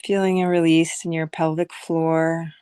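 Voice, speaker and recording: Slow, smooth-voiced woman guiding meditation. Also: deep voice, flat delivery, warm tone, no echo, studio quality. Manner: soothing, unhurried, with long pauses between phrases. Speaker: guided meditation teacher. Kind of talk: guided visualization meditation.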